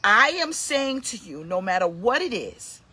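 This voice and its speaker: A woman's voice: medium pitch, big pitch swings, a little slow, pushed and bright, husky. A woman in her fifties hosting a casual motivational talk, emphatic and preachy, repeating her key points. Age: 50s. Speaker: host, pastor.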